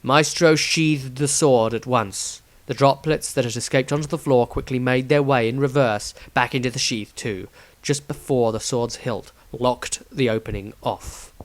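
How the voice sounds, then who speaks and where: A man's voice: mid-range voice, unhurried, no echo. A man reading his own fantasy story as a scripted, dramatic audiobook narration.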